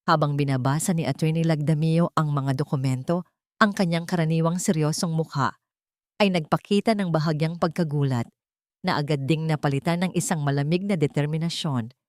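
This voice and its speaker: A woman's voice: sits low, a little fast, a little mellow, no room sound, clean studio sound. A woman with a Filipino accent reading a scripted, dramatic love story as its narrator.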